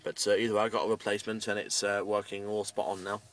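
A man's voice: lowish voice, flat delivery, quick pace, a bit bright, distant mic. A British man giving a casual, matter-of-fact, rambling video update, recorded inside a parked car. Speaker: amateur radio hobbyist making a video update.

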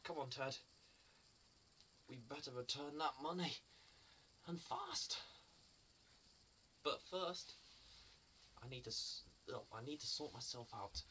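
An actor playing a silly, excitable, sheepish bungler in a comic monologue, chattering in a flustered way. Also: male voice, mid-range voice, takes it slowly, pauses often, full character voice. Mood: relieved, hurried. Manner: flustered, chatting to himself and his pets. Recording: distant mic, faint background noise.

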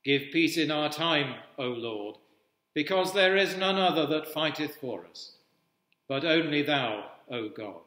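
A man in his sixties, an Anglican priest, reading traditional prayers in a solemn, measured, formal way in an echoey church. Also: on the high side, animated, sharp tone. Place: indoors at a wooden-panelled cathedral altar. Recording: echoey church with long reverb.

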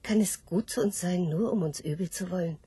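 Radio play sow: hopeful thinker, solemn delivery, heavily breathy and fairly low. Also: female voice, fairly quick, dark tone, no echo. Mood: hopeful, pondering. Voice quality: very husky, breathy. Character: hopeful philosophical sow awaiting her disappearance. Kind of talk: literary radio drama acting.

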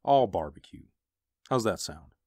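A man's voice: low-pitched, expressive, a little slow, darkish tone, dry recording, studio quality, full character voice. An actor playing an easygoing, affectionate husband and father in a radio drama. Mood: concerned.

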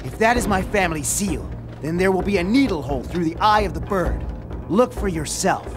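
A man's voice: high voice, animated, a little fast. A man playing a proud, ambitious young samurai warlord in an English game dub, with a defiant, declamatory manner. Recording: music behind dialogue.

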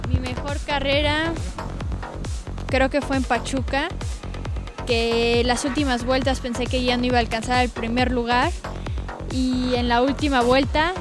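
Young girl racer answering questions, earnest, slightly high voice, music loud underneath. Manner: earnest, plain-spoken. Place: outdoors by a fountain. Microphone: interviewer's handheld mic.

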